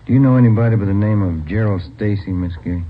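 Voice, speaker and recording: A man's voice: on the low side, quick pace, close to an everyday voice. A man playing a laconic, easygoing police detective partner in a 1950s radio crime drama. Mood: even, inquiring. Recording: tinny old radio, faint noise.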